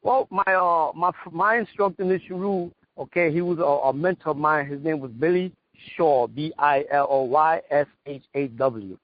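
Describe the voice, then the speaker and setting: A man's voice: fairly high pitch, fairly even intonation, no room sound. Older New York man, dry lo-fi line. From New York, United States. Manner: halting, repeats phrases. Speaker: interview guest, veteran martial artist. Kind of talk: casual reminiscence.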